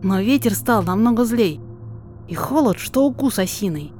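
A woman's voice: mid-range voice, expressive, moderate pace, warm tone, voice pushed up, lightly acted. A woman voicing a flustered, apologetic male guide, anxious and polite, in a verse audio story. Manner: agitated, deferential, emphatic. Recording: music behind the voice.

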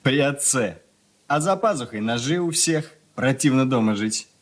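An actor playing a sullen, desperate, rebellious schoolboy in a radio drama, curt and brooding in manner. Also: male voice, medium pitch, lively intonation, normal speed, close to an everyday voice. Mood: sullen defiance. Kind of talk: Russian radio drama acting.

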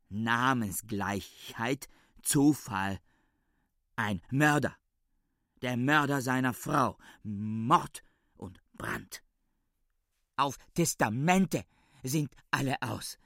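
A paranoid, frantic scholar in a radio play, swinging between muttering and raving. His phrases come very slowly, with obsessive repetition, in a dry, close studio sound. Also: medium pitch, a bit bright, no echo, heavily acted. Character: paranoid, raving male scholar barricading himself among books. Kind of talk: radio drama acting.

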